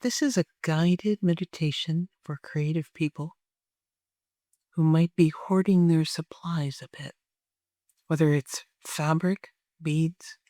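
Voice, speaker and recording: A person leading a guided meditation in a gentle, soothing way, with long unhurried pauses. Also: medium pitch, no room sound, clean studio sound.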